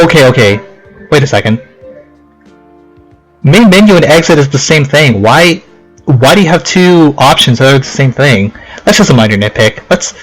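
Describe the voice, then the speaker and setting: A young man records a casual let's play in a middle-pitched voice. He reads on-screen text aloud and drifts into chatty, rambling asides. Game music plays behind him. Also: low-quality recording.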